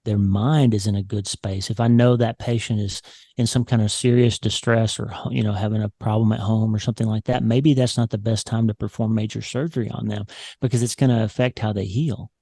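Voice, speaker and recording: A man's voice: lowish voice, steady tone, brisk, gravelly, mellow, dry recording, studio quality. A man, a surgeon explaining research studies at length in a video-call interview from a home office. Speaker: neurosurgeon guest. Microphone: large studio mic on boom arm.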